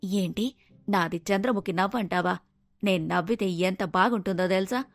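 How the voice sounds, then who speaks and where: A woman's voice: mid-range voice, moderate pace, dry recording, clean studio sound, natural voice. A woman playing a vain, touchy middle-aged mother-in-law in a cartoon moral story, querulous and full of questions. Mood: offended, boastful.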